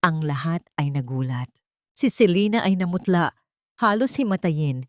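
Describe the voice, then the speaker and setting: Low-voiced woman narrating a dramatic story. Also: expressive, normal speed, no room sound, clean studio sound. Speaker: audiobook narrator.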